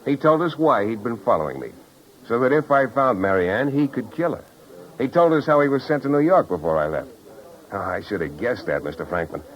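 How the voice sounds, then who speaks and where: A man's voice: medium pitch, very animated, a little fast, natural voice. An actor playing a hard-boiled, wisecracking private detective, with wry, clipped tough-guy delivery in a 1950s radio drama style. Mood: cool, accusing. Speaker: lead actor and narrator. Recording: dull old 1950s radio recording.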